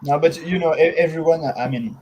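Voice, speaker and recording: A man from France with a French accent, speaking as an interview guest in a halting, conversational way, repeating words as he searches for them. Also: on the high side, highs cut off, slight background noise.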